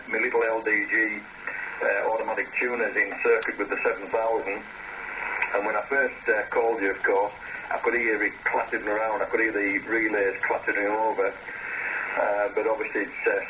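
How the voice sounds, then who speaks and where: A low-pitched man chats casually over amateur radio, hesitating as he goes. The sound is muffled and distant, with plenty of radio noise around his voice. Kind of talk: casual ham radio contact. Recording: noisy, muffled, distant radio transceiver audio. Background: radio static noise.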